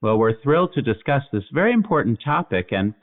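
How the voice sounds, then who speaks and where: A man's voice: mid-range voice, big pitch swings, a little fast. A man hosting a podcast, asking a guest about her study in a polite, inquisitive way. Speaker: podcast host, physician. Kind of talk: podcast interview questions. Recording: tinny phone-like line.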